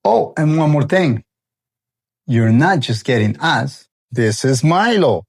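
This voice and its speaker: A man's voice: medium pitch, lively intonation, slow pace, creaky, a little mellow. A man in his forties from El Salvador, a renovation company founder, introducing his channel in an earnest, friendly way.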